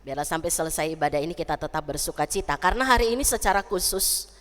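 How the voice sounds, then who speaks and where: A woman's voice: sits low, animated, quick pace, a bit bright. Nasal, congested woman pastor in a church. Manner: chatty with the congregation, tags lines with "ya" and "gitu kan". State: nasal and congested from losing her voice. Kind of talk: church service prayer and sermon. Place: church sanctuary. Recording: long church hall reverb.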